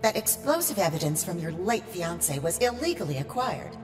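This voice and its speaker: A woman's voice: fairly low pitch, sing-song, normal speed, darkish tone. An actress playing a cold, sardonic, seductive female antihero in an audio drama. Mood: cool, calculating. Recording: long reverb, prominent music underneath.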